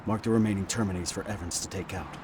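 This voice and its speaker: A man playing a confident, resolute resistance commander giving deliberate briefings in a video game cutscene. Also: low-pitched, close to an everyday voice. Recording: clear noisy outdoor ambience.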